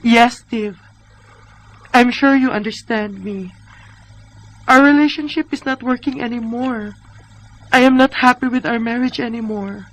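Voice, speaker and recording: A high-voiced, weary Filipina wife in a Visayan radio drama. She speaks in an earnest, plaintive way, full of homesickness, with a Visayan accent. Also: a little slow, long pauses, poor audio. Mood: sad, resolute. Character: weary, homesick Filipina wife divorcing her American husband. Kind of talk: Bisaya radio drama acting.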